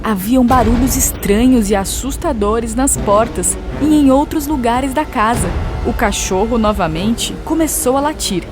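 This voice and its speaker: A woman narrates a children's story in a moderately high voice. Her scripted telling runs over clearly audible music. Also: a little slow, few pauses.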